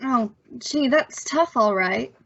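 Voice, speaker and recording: A woman's voice: a little high, expressive, slow pace, vocal fry, fairly bright tone, full character voice. A woman acting an awkward, apologetic young man in an old-time radio suspense play, stammering and using folksy slang. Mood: sheepish. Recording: slightly dull, muffled audio.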